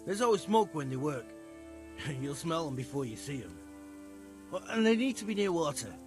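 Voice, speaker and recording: Lively, earnest medieval countryman. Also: on the high side, moderate pace, over music. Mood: helpful. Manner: folksy, hesitant, earnest. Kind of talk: conversational video game cutscene acting.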